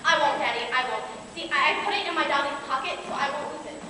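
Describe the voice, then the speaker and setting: A young woman acting an innocent, excitable little girl in an amateur stage play. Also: sits high, fast, natural voice. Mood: cheerful, eager. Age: young adult. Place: theatre stage, distant microphone. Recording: echoey hall from far off, noisy.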